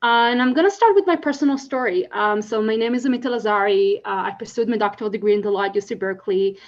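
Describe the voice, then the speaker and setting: A woman's voice: medium pitch, quick pace, dull audio. A woman from Israel with an Israeli accent, giving an earnest conference presentation full of fillers and restarts. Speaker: conference speaker.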